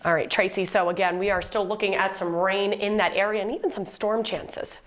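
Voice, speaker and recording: Medium-pitched woman interviewing on live news. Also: fairly even intonation, a little fast, a little hiss or hum. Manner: conversational.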